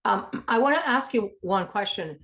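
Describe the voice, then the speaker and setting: A woman's voice: mid-range voice, expressive, moderate pace, fairly bright tone. A woman co-presenting in an informal, explanatory way, direct and practical, checking for agreement as she talks.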